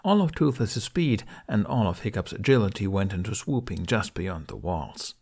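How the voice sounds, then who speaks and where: Low-voiced man narrating a fantasy audiobook. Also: big pitch swings, moderate pace, a little mellow, slightly rough. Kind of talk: expressive scripted fiction narration.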